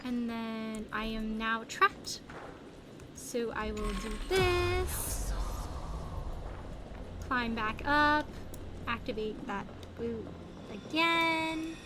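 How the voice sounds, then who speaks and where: A woman's voice: on the high side, expressive, extremely slow, pauses often, background noise. A woman live-streaming a game, chatting casually to viewers and thinking aloud in a playful, exclamatory way. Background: music behind the voice.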